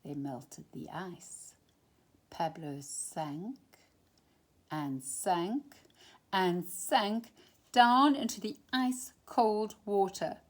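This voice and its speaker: A woman reading a children's picture book aloud expressively, with dramatic emphasis and drawn-out phrases. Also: medium pitch. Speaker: storytime reader.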